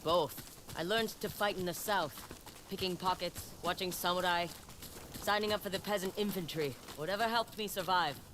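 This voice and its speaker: A woman's voice: fairly low pitch, moderate pace, background noise, lightly acted. An actress playing a tough, self-taught young female wanderer and warrior in a video game, speaking in a terse, dry, guarded way. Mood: guarded, resolute. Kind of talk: dramatic video game acting.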